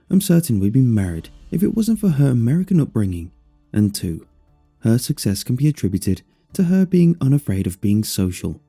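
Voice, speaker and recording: Man, horror story narrator, very mellow dark tone, widely varied intonation, scripted first-person delivery, measured. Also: medium pitch, husky. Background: faint music.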